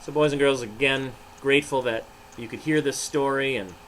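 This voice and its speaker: A man's voice: medium pitch, normal speed, a bit bright, slight background noise, low-quality recording. A man, a pastor, reading a story and talking to children in a dramatic, emphatic way. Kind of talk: animated storybook narration and children's devotional.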